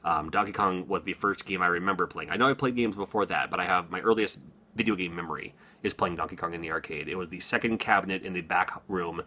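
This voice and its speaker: A man's voice: low voice, fast talker, sharp tone. A man in his forties rambling casually to his viewers, chatty with lots of ums and little chuckles. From Washington, USA. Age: 40s. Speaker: gaming YouTuber. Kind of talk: casual unscripted monologue to camera.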